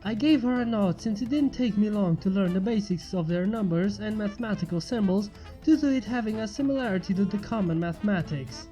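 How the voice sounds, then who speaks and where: A woman's voice: mid-range voice, a little fast, warm tone, low-quality recording. A woman reading a first-person fan fiction story aloud as its narrator. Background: faint music.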